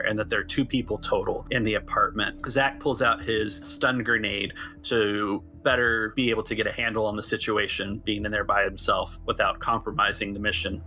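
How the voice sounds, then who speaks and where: A man's voice: on the low side, pushed and bright. A man narrating an improvised tabletop game as game master, often repeating words as he thinks. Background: faint music.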